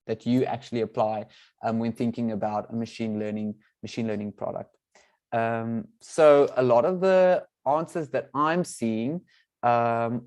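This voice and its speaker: A young man whose first language is Afrikaans hosts an online community event over a video call. His voice is warm and mellow, sitting at an ordinary middle pitch. He talks in a friendly, informal way, often padding with "um" and restarting his phrases. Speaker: event host, product manager. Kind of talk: webinar introduction and audience poll.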